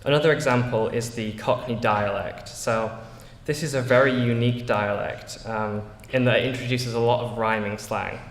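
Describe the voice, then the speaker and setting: A man's voice: medium pitch, steady tone, moderate pace, few pauses. Teen boy with Yorkshire English accent, echoey hall. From England. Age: teens. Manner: earnest, reads from notes with small slips and fillers. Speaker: student giving a speech. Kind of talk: prepared school speech. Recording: echoey hall, long reverb.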